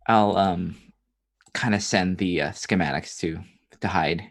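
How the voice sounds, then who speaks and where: A woman's voice: a bass voice, a little slow. A woman playing a tabletop roleplaying game, speaking casually and a little hesitantly in character.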